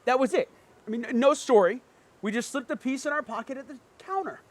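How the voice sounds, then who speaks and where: A man's voice: very high-pitched, a little fast. A man acting a cocky, teasing twin brother who is flippant and unfazed by jail in an amateur drama reading. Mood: nonchalant.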